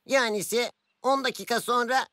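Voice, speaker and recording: A fretful cartoon tinkerer, voiced by a woman with a somewhat high voice, explaining a problem in a worried tone. The sound is dry and close. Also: steady tone, normal speed, fairly bright tone, dry recording, lightly acted. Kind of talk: dubbed cartoon acting.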